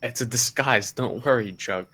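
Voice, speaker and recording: A man's voice: mid-range voice, moderate pace, pushed and bright. A man playing a tabletop roleplay game with casual, improvised banter.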